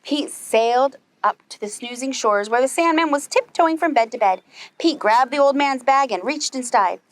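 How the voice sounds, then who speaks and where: Higher-pitched woman reading to young children. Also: moderate pace. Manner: animated, asks young listeners questions. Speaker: preschool storyteller. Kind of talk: children's story read-aloud.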